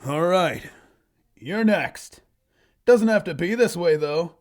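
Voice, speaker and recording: A cloaked loner hero of a storybook, performed by a man, speaking up loud and a little high. He is sure of himself and taunts his enemies. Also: lively intonation, slow pace. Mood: taunting. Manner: confident, taunting. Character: lone cloaked swordsman, confident male wandering hero.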